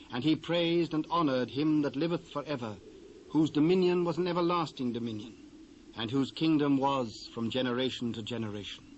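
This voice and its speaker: Solemn British male narrator, dulled tape. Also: mid-range voice. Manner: solemn, measured. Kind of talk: scripted scripture narration. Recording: dull cassette transfer, faint hiss.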